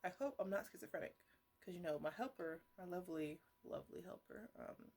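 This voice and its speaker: A woman playing an eccentric, defensive botanist in an ASMR roleplay, rambling haltingly and repeating herself as she fusses over her plants. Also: fairly low pitch, expressive, a little mellow, slightly rough. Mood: earnest, enthusiastic. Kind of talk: ASMR roleplay, casual improvised chatter to plants.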